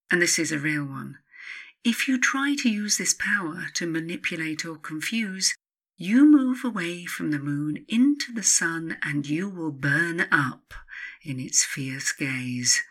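A woman reading her own self-help book as scripted narration, in a measured, instructive manner with emphatic pauses. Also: deep voice, bright, forceful tone.

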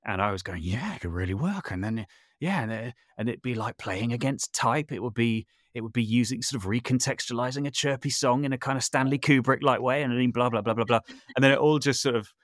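A man's voice: medium pitch, fairly quick, dry recording, clean studio sound. A man talking casually as an interview guest, thinking aloud and often rephrasing as he goes. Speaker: podcast guest, composer, sound designer and voice artist.

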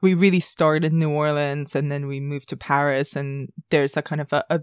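Female podcast co-host for casual film talk, slightly low voice, measured, inquisitive, recorded dry. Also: little pitch movement, clean tone, no echo.

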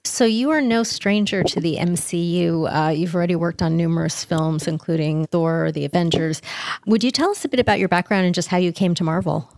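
A woman's voice: on the low side, a little fast, a little mellow, no echo. A woman hosting an interview show, delivering introductions and prepared questions.

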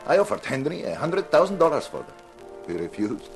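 Haughty, creaky-voiced connoisseur. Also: male voice, a little high, very animated, brisk, faint music behind. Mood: smug. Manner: pompous, scornful. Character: haughty, acquisitive male connoisseur, disdainful of his rivals. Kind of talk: 1950s radio mystery acting.

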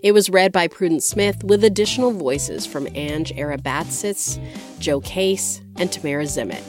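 Low-voiced female podcast host over music. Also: moderate pace, darkish tone. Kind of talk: scripted radio presenting.

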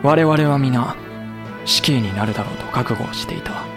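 A young actor playing a loyal samurai officer who narrates soberly and pleads with fiery passion. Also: male voice, medium pitch, moderate pace, music in the background, close to an everyday voice. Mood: sober narration. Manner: passionate, rising to near shouting when pleading. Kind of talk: audio drama acting and period narration.

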